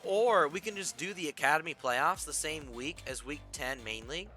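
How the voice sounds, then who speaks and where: A young man calls the plays for an online match and keeps turning to talk with his chat, his voice worn and slightly raspy. His tone is bright and forceful, and sits a little higher than most men's. Faint music plays behind him. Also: animated, normal speed. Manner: rambling, chatty, talks to chat. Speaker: esports caster and stream host. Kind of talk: casual play-by-play commentary with chat. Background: stream music.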